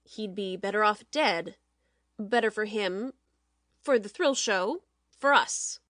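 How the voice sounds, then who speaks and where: A woman playing a domineering, cold-blooded TV producer in a dramatic audiobook, speaking in a forceful, pointed way. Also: mid-range voice, slow pace, no echo, clean studio sound. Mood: cold, dark. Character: hard, calculating TV show producer, domineering.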